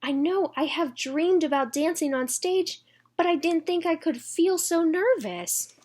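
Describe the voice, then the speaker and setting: A woman's voice: very high-pitched, expressive, unhurried, darkish tone, voice pushed up. A woman voicing an earnest, nervous young girl ballerina in a storybook reading. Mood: nervous, doubtful.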